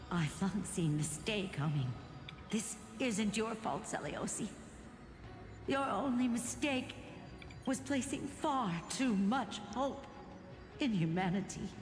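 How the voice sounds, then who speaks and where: An actress playing a weary, sorrowful, gently forgiving woman in a game cutscene, with a mournful delivery. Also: mid-range voice, expressive, slow pace, long pauses. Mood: sorrowful resignation. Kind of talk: scripted game cutscene acting. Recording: echoey hall reverb, music behind.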